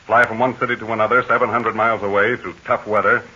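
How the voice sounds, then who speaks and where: An actor playing a calm, fatherly heroic aviator in a 1940s radio serial, speaking in measured, assured tones. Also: lowish voice, slightly rough. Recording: dull scratchy 1940 radio transcription.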